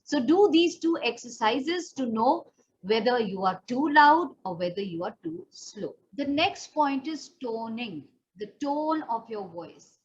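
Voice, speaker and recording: High-voiced Indian woman teaching, dull lo-fi sound. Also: animated, measured pace. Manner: explanatory, demonstrative, addressing the learner directly. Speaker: pageant and personal development trainer. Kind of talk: instructional voice training lesson. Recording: dull lo-fi audio, faint background noise.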